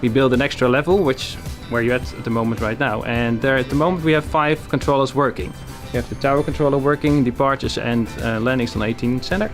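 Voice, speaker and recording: A man's voice: medium pitch, fairly even intonation, fast talker, few pauses. Matter-of-fact man explaining, music behind. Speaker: air traffic control tower guide. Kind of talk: informal explanatory tour talk.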